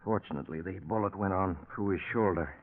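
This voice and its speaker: An actor playing a steady doctor who soothes and takes charge, in 1940s radio drama style. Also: male voice, low voice, quick pace. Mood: soothing. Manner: soothing, authoritative. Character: steady, reassuring doctor friend of a nervous man. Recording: muffled vintage radio recording.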